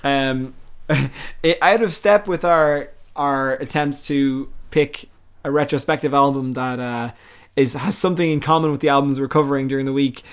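A man's voice: mid-range voice, fairly even intonation, moderate pace, smooth. A man, chatting casually and enthusiastically as a music podcast host reviewing albums.

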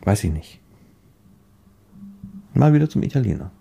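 A man chatting casually in a rambling, halting way, often repeating words as he searches for the next one. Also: medium pitch, very animated, gravelly, warm tone, slight background noise.

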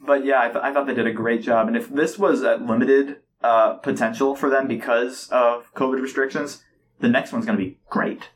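A young man in casual podcast conversation, telling stories in a chatty, animated way. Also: medium pitch, moderate pace, slight background noise. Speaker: podcast host. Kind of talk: casual chat.

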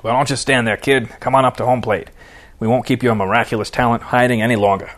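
A man's voice: mid-range voice, steady tone, fast, a bit bright, close to an everyday voice. Man acting out baseball manager, angry, sarcastic, stubborn, mocking, audiobook dialogue. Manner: mocking, barking orders.

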